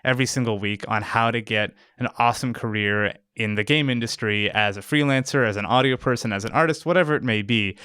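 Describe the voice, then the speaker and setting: A man with a smooth, clear and fairly low voice narrates a casual how-to video. The recording is close and very dry. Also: bright, forceful tone, dry recording. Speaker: tutorial host, sound designer.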